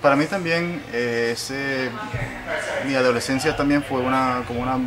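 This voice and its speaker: A man from Puerto Rico interviewing conversationally and sharing personal anecdotes, halting and correcting himself as he goes. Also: mid-range voice, steady tone, a loud crowd. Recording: noticeable background noise.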